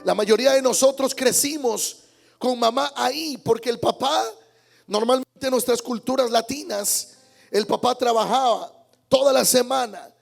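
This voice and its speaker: A man's voice: extremely high pitch, normal speed, creaky, faint music behind. A male pastor preaching a Sunday sermon in church, emphatic, repeating key words and calling out amens. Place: church service.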